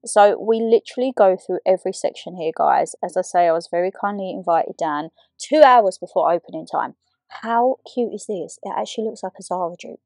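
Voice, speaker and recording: A young woman with a slightly croaky voice, chatting in a rambling, giggly way as a shopping vlogger over a voiceover. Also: fairly low pitch, brisk, a little mellow, no echo. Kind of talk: chatty vlog talk and voiceover.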